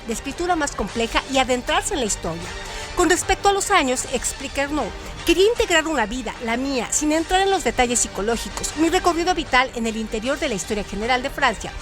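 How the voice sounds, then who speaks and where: High-pitched woman narrating literature over music. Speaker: narrator, writer and director of an audio story show. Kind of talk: scripted literary narration and reading. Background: background music.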